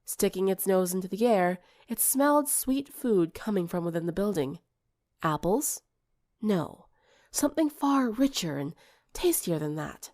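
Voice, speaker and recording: A woman's voice: medium pitch, expressive, a little slow, darkish tone. A woman reading a scripted story aloud as an audiobook narrator. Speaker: audiobook reader.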